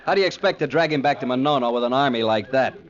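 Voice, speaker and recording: An actor playing a cynical, hard-bitten jungle guide with a dry, sardonic drawl in a 1940s radio drama. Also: male voice, mid-range voice, expressive, very fast, natural voice. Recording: muffled old radio recording, loud music.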